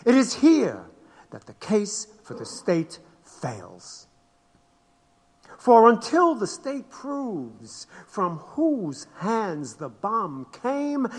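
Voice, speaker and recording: An actor playing a solemn defense lawyer in an audio drama, making an emphatic, deliberate courtroom speech. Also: male voice, high-pitched, bright, forceful tone, exaggerated voice. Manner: deliberate, declamatory. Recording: long hall reverb.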